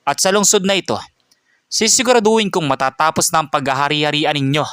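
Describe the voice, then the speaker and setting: A man reads a stern police chief who speaks calm, firm and deliberate, each order weighed. The voice is fairly high for a man and sits close and dry. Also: clean tone, no echo. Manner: firm, measured, serious.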